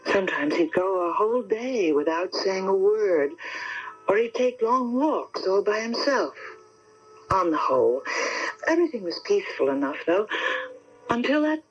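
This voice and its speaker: An actress playing an anxious, devoted wife in a 1970s radio drama, with hesitant, dramatic delivery. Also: female voice, medium pitch, expressive, moderate pace, close to an everyday voice. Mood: wistful. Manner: dramatic, hesitant first-person storytelling. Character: anxious, devoted wife telling her ghostly tale. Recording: dull 1979 AM radio broadcast, music.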